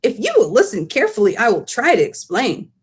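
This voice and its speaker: A woman voicing a big, friendly old male giant, excitable and indignant, with mangled grammar and invented words, in a storybook read-aloud. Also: a little high, fairly quick, breathy edge, full character voice. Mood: exasperated.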